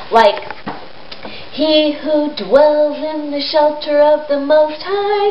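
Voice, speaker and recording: High-voiced woman storyteller, echoey noisy recording. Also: muffled recording. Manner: simple, explanatory storytelling for children.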